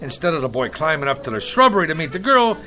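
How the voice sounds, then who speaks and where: An actor playing a brash, anxious hustler of a writer, wheedling in slangy, street-wise grammar. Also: male voice, fairly high pitch, big pitch swings, quick pace, pushed and bright. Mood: glib, placating. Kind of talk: comic TV drama acting. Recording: music under the dialogue.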